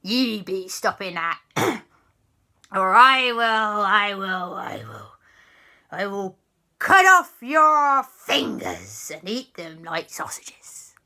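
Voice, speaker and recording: A blustering old undead sea captain from a children's story, acted out by a woman. The speech is archaic pirate talk, drawn out with menace. The delivery is very slow and forceful, swooping between growly threats and loud complaints. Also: mid-range voice, very animated, full character voice. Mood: irritated threats.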